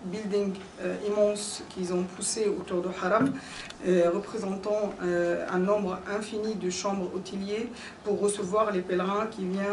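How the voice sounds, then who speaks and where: A woman's voice: mid-range voice, a little mellow. A young woman giving a formal conference talk in French, which is not her first language, speaking hesitantly with frequent fillers and restarts. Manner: hesitant, many pauses and fillers. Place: conference hall. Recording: echoey hall, distant mic, background noise.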